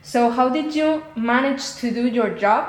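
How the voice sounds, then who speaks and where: A woman's voice: a little high, measured pace. A woman interviewing a guest, asking questions and often murmuring short agreement. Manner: frequent short backchannel agreement. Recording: echoey room.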